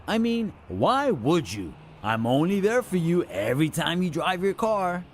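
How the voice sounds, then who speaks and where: A man's voice: sits high, very animated, a little slow, noisy background, pitched above the performer's own voice. A man voicing an eager, overlooked insurance mascot in a comic commercial, with a plaintive, emphatic delivery. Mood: eager, insistent. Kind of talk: comic commercial character acting.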